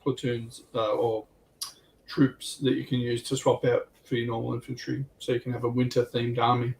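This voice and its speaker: A man's voice: medium pitch, monotone, normal speed, gravelly, a little mellow. A man chatting casually and informally as a panelist on a hobby livestream. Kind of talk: casual chat. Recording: distant mic with background noise.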